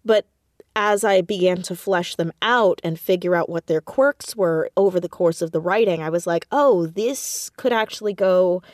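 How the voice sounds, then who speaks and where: A woman's voice: on the low side, moderate pace, a little mellow, no room sound. Woman chatting in a dry, close recording. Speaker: podcast guest, audio drama writer and creator. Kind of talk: casual interview chat about own writing.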